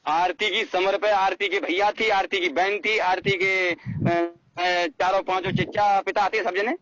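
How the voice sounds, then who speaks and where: High-pitched, insistent man on a muffled call. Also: steady tone, measured pace, a bit bright, dry recording. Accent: rural North Indian Hindi. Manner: insistent, repeats himself for emphasis. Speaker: phone caller. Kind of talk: airing a personal grievance on a phone call. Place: recorded phone call. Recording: muffled phone call with hiss and clicks.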